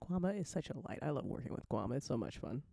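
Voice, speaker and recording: A woman with a very lively, swooping pitch hosts a casual chat. Her banter is playful and she repeats phrases for emphasis, all in a close, dry sound. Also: fast, gravelly, no echo. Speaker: show creator and after-show host.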